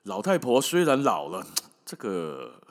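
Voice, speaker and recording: A man's voice: fairly low pitch, huge pitch swings, a little slow. A man voicing a sly, scheming big bad wolf who sweet-talks a little girl in a storybook tale. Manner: sweet-talking, wheedling. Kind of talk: storybook character voice in podcast storytelling.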